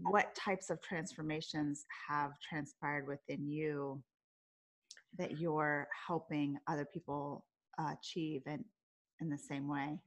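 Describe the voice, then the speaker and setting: Upbeat low-voiced podcast host woman. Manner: upbeat and enthusiastic. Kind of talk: podcast interview.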